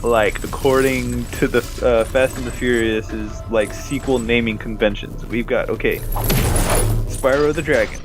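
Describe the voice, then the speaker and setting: Male game co-commentator, mid pitch, casual and sarcastic, sweary, over prominent game music. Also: steady tone, a little slow. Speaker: co-commentator on a video game playthrough. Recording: music and game sounds behind. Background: loud game music and effects.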